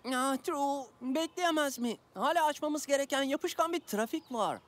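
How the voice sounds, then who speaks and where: Woman as a high-pitched, fussy cartoon cat. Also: moderate pace, lightly acted. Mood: urging. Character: excitable, reluctant little cat sidekick. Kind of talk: animated children's series dub. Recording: music behind. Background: music.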